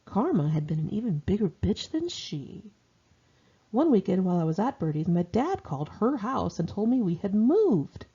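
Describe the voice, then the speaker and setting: A woman's voice: mid-range voice, sing-song, moderate pace, mellow, slightly rough, highs cut off. An American woman reads her own memoir in a conversational, candid, wry and slangy way. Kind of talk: conversational first-person memoir narration.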